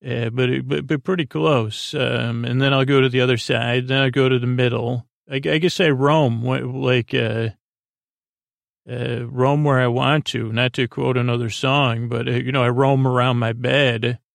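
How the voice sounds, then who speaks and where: A man hosts a bedtime podcast in a middling-pitched voice full of creak. He meanders, halts and repeats himself in a whimsical, self-deprecating way. The sound is dry, with no room around it. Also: fairly even intonation, moderate pace, no room sound. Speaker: podcast host. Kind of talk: rambling, unscripted bedtime podcast monologue.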